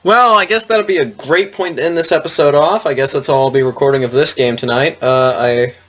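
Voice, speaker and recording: A man's voice: on the high side, fairly quick, fairly bright tone. A man giving casual, rambling let's play commentary in an awkward, self-deprecating way, with game music behind him. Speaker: let's player.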